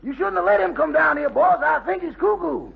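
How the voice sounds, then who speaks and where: An actor playing a cheeky, skeptical manservant in a 1940s radio comedy, a gravelly-voiced man given to deadpan back-talk. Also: fairly quick, full character voice. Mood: alarmed, skeptical. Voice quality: gravelly, squeaky. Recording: thin, phone-like 1940s radio broadcast.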